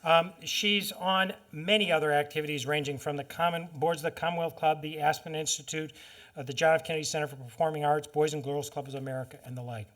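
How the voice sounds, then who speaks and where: Halting man introducing a speaker. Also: on the high side, fairly even intonation, fairly bright tone. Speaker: event host introducing a speaker. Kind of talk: formal spoken introduction at a public forum. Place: public lecture forum with an audience.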